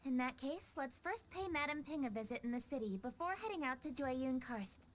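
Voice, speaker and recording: A woman's voice: high voice, lively intonation, brisk, slight background noise. An actress playing a polite, efficient, dutiful young lady official in a video game cutscene, courteous and formal. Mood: businesslike, friendly. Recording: faint music behind the voice. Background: background music.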